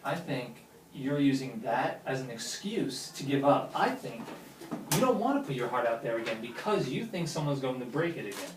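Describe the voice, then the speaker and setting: A man's voice: mid-range voice, sing-song, moderate pace, close to an everyday voice. An actor playing a lovesick, pushy man pleading earnestly with his best friend in naturalistic film dialogue. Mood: frustrated, insistent. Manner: earnest, insistent. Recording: roomy, distant mic with faint noise.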